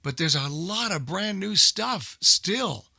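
A man's voice: sits high, animated, slow, vocal fry, sharp tone, husky, dry recording. An older man chatting casually about old-time radio as a host and collector.

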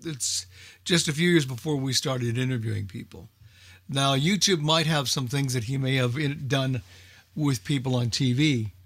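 A man's voice: mid-range voice, moderate pace, a bit bright. An older man chatting casually as a knowledgeable old-time radio enthusiast, hesitant and thinking aloud. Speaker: old-time radio expert on a group call. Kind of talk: casual group discussion.

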